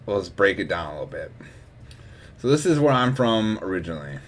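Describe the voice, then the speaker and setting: A young man from Wisconsin talks. He streams live and rambles casually between asides. He laughs often, and his volume swings between loud bursts and quiet mumbling. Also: lowish voice, very animated, brisk, faint music behind. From Wisconsin, USA.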